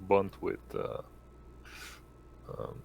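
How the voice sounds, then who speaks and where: A man chatting casually as a tabletop game player, speaking in a halting way. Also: narrow, tinny audio. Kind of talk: casual chat.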